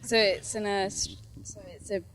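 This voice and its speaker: A woman's voice: medium pitch, fairly even intonation, normal speed, fairly bright tone, slight background noise. A woman with an Australian accent asking a question from the audience, hesitant and shy. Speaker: audience member.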